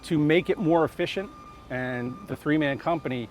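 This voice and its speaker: A man's voice: a little high, moderate pace, recorded from a distance, a little hiss or hum. A man working as a fire lieutenant, explaining in an informal, practical, matter-of-fact way during an on-location interview. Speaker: fire lieutenant explaining his ladder truck. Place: beside a parked fire truck.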